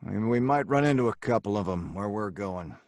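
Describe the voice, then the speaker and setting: Cocky hard-boiled radio gangster, dry sound. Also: male voice, on the low side, animated, fairly quick, darkish tone, no echo. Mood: bossy, dismissive. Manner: slangy, hard-boiled, colloquial. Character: cocky tough-talking male robber, gang leader, slangy storyteller. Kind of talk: old time radio crime drama acting and narration.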